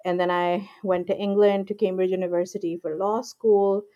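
Low-voiced woman, measured and explanatory. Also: level tone, dark tone, dull audio. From Pakistan. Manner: measured, explanatory, many ums and you-knows. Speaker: guest, IP lawyer. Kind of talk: casual interview talk.

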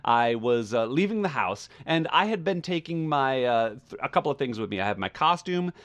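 A man's voice: mid-range voice, very animated, brisk, fairly bright tone. A man hosting a podcast in a casual, chatty style, rambling as he goes. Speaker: podcast host.

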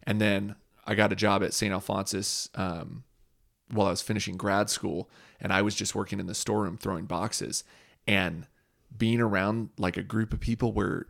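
Low gravelly-voiced man in his thirties. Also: fairly even intonation, moderate pace, a bit bright. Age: 30s. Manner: laid-back, agreeable, frequent "yeah". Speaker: podcast host. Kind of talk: casual chat.

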